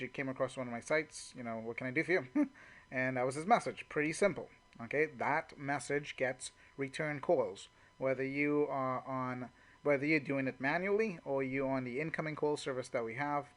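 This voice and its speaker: A man's voice: fairly high pitch, a little fast. A man working as a network marketing trainer, talking informally and off the cuff as he walks through call examples, often saying "you know". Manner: conversational, unscripted, frequent "you know".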